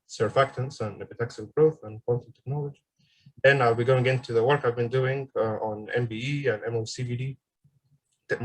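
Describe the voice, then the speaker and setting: A man in his twenties from Egypt giving an online seminar presentation as a graduate student, pausing often with ums and uhs. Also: mid-range voice, breathy edge, low-quality recording. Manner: hesitant, frequent ums and uhs. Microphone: video call.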